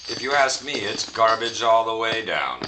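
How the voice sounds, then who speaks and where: A man's voice: lowish voice, lively intonation, moderate pace, background noise, natural voice. A man playing an indignant, melodramatic spaceship captain in a comic sci-fi audio drama, theatrical and grumbling.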